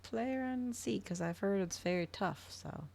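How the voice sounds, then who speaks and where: A woman's voice: medium pitch, moderate pace, creaky, a little mellow. A woman livestreamer chatting casually to her viewers, rambling, trailing off and thinking aloud. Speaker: livestreamer hosting an ASMR and gaming channel.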